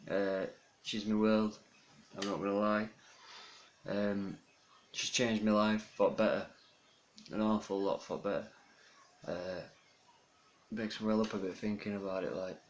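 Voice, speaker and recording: A young man from South Yorkshire with a toned-down Yorkshire accent, talking about himself in a rambling, earnest way, pausing often to think. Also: fairly low pitch. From South Yorkshire, England. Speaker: vlogger introducing himself. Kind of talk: casual self-introduction monologue. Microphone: phone camera. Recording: phone camera with faint background noise.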